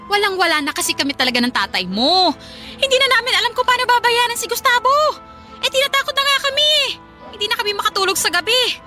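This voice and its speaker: A woman's voice: extremely high pitch, expressive, fast. A Filipino woman playing a poor, debt-ridden mother in a radio drama, coaxing and guilt-tripping as she presses her case. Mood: anxious, coaxing. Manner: coaxing, guilt-tripping, hesitant then insistent. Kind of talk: Tagalog radio drama acting. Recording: loud music under the voice.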